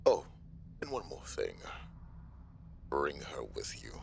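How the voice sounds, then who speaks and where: A man playing a menacing, gloating mobster villain in an audio roleplay, with slow, theatrical taunts and mocking politeness. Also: rumbling low, wildly swooping pitch, gravelly, slight background noise, exaggerated voice. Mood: cold mockery.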